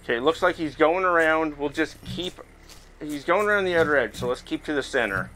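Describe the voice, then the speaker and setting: A man's voice: fairly high pitch, lively intonation, fairly quick, sharp tone, slight background noise, poor audio. A man hosting a gaming video, giving casual, chatty commentary and thinking aloud as he plays. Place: indoors, playing in a VR headset in front of a camera. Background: game music.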